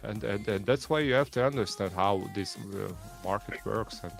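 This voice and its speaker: Lower-voiced Hungarian man, halting speech. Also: gravelly, faint music behind. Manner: halting, repeats words, many fillers. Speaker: guest trader. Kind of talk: casual live-stream chat.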